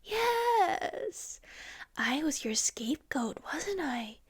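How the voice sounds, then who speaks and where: A woman's voice: high voice, big pitch swings, drawn-out words, pitched above the performer's own voice, heavily acted. Sneering rogue policeman, as a woman reads him. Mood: menacing. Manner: sneering, whining. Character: menacing rogue male policeman, bitter and self-pitying.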